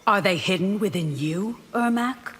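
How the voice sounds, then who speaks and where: A woman's voice: mid-range voice, unhurried, over loud music, close to an everyday voice. A woman playing a devout, dutiful warrior bodyguard in a video game, speaking firmly and formally. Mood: firm, wary. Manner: firm, formal, wary. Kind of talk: video game character dialogue. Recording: reverberant, with heavy background noise.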